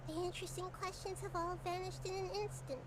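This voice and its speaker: Childlike game goddess, soft and curious, very high voice, noisy game audio. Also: female voice, level tone, fast. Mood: gentle. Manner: soft, thoughtful, speaks in analogies. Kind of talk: game character dialogue. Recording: game audio under stream noise.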